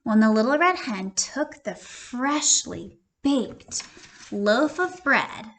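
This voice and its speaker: Primary teacher, female. Slow, bright, higher-pitched delivery; expressive story reading with questions for young children. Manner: expressive, questioning, addressing young listeners directly. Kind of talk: interactive children's read-aloud.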